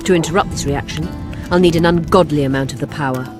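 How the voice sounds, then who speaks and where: A woman's voice: low voice, natural voice. Woman acting a commanding sorceress, music behind. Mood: urgent, commanding. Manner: brisk, formal, imperious. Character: self-assured, commanding female sorceress. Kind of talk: video game voice acting. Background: game music.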